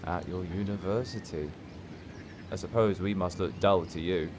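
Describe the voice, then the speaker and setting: A man's voice: low-pitched, normal speed, background noise, natural voice. An actor playing a teasing, wistful young countryside man, blunt and informal, in an audio play. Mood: wistful, dry. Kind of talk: naturalistic audio play dialogue.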